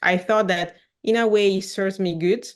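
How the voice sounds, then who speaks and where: A woman's voice: mid-range voice, normal speed. A woman who grew up in Switzerland, speaking English as a second language, earnestly describing her career as a podcast guest. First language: not English. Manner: earnest, animated, with frequent restarts and non-native grammar. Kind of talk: casual interview chat.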